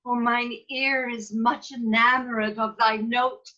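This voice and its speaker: A woman playing a regal, doting fairy queen in a Shakespeare table read, speaking measured verse theatrically over a video call. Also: on the high side, steady tone, pushed and bright. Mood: lovestruck. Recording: muffled video call audio.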